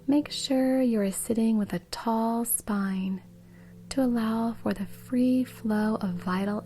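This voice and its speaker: Slow, soft breathy woman guiding meditation. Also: medium pitch. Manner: soft, breathy, soothing delivery. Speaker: meditation guide. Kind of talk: guided meditation.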